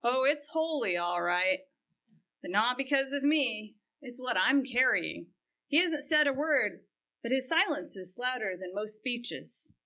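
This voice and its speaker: A woman's voice: a little high, expressive, measured pace, sharp tone, heavily acted. A woman voicing a weary donkey with dry, sardonic asides in an amateur church dramatic reading. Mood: earnest. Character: wry weary donkey carrying Jesus.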